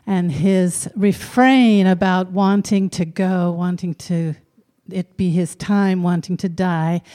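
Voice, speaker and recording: A middle-aged woman tells a personal story to a live audience, frank and conversational. Her voice is mellow and smooth, and she speaks slowly, leaving room between thoughts. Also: fairly low pitch. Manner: frank, unhurried, conversational with occasional fillers.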